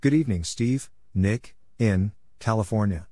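Male narrator, lowish pitch, very slow pace, measured delivery, letters spelled out separately, practice drill reading. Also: dark tone, a little hiss or hum. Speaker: Morse code practice narrator.

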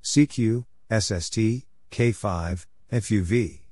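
A man reading scripted short exchanges as a practice narrator, deliberately spelling out letters and numbers one at a time. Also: fairly low pitch, darkish tone, dry recording, studio quality. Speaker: narrator of Morse code practice.

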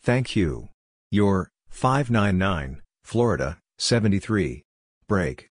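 A man narrating a Morse code practice lesson, reading scripted radio phrases in clipped, deliberate pieces. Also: deep voice, big pitch swings, no echo, studio quality.

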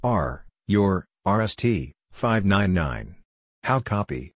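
A man reading out short scripted practice phrases with measured, deliberate enunciation, as a drill narrator. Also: low voice, very animated, breathy edge, no echo. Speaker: Morse code practice narrator.

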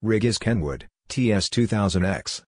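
A man reading out short scripted phrases for a practice drill in a measured, clipped way. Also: fairly low pitch, animated. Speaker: narrator of Morse code practice drills. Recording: clear background noise with clicks.

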